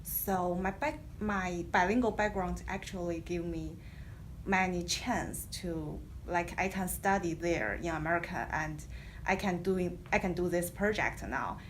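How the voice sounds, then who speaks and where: Woman, Chinese first language, halting English, lowish voice. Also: slight background noise, rough recording. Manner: hesitant, restarts, non-native grammar. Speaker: graduate student presenter. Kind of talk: casual spoken presentation.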